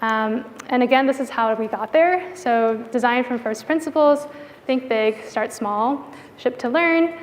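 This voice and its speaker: A woman's voice: on the high side, a little slow, a little hiss or hum. A woman giving a conference presentation as a product designer, talking in a casual, conversational way with informal filler phrases. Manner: casual, informal phrasing with frequent "like" and "you know". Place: indoor conference venue. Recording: reverberant hall with long echo.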